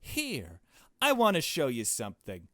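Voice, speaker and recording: A man voicing a cocky, taunting young woman villain in a visual novel, with a mocking, breezy, drawn-out delivery. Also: on the high side, wildly swooping pitch, fairly bright tone, no echo, clean studio sound, exaggerated voice. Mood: breezy, smug.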